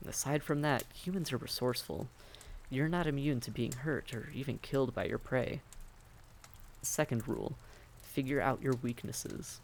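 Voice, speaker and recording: Guy doing a friendly vampire innkeeper in an audio roleplay, gravelly mid voice, patient n reassuring, bit of background noise. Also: medium pitch, close to an everyday voice. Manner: gentle, folksy, politely advising. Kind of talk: immersive roleplay audio drama, addressing the listener.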